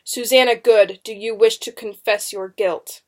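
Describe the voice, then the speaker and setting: A woman voicing a tall, commanding male witch-trial judge in a storybook, loud, sneering and accusing. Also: fairly high pitch, steady tone, unhurried, close to an everyday voice. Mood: harsh accusing. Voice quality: forceful, loud delivery. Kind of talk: dramatic storybook reading.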